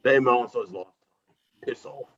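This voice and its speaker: A man's voice: mid-range voice, normal speed, a bit bright, highs cut off, lightly acted. A man acting a bitter, foul-mouthed jailed hitman and reluctant father in an amateur script read, combative and blunt. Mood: gruff, dismissive.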